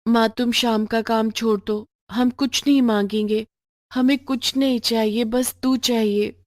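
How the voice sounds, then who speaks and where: A woman's voice: fairly high pitch, fairly even intonation, measured pace, a little mellow, dry recording, lightly acted. A woman acts as a caring young child from a poor family in a storybook tale. The delivery is earnest and pleading, with a dry sound. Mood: earnest, concerned. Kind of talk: moral storybook voice acting.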